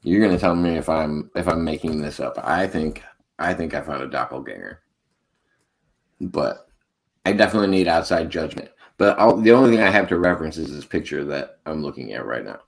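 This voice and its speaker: A man's voice: extremely low pitch, animated, brisk, creaky. An American man co-hosting a podcast, chatting casually and rambling, often laughing. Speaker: podcast co-host. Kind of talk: casual chat.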